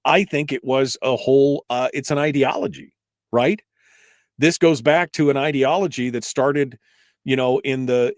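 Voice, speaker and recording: A man's voice: mid-range voice, no echo, clean studio sound. A male talk radio host speaking live in an emphatic, conversational, rambling way, giving his opinions and asking rhetorical questions.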